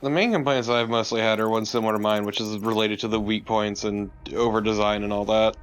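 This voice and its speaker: A man's voice: on the low side, fairly even intonation, fairly quick, smooth. A man giving casual co-commentary on a video game, with a wry way of talking. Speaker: let's play co-commentator.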